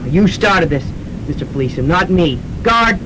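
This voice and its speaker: Man acting an indignant young king, swooping high voice. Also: lively intonation, unhurried. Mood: commanding. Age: young adult. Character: indignant, bossy newly crowned young island king. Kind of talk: campus radio comedy drama acting. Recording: noisy rumbling student radio recording.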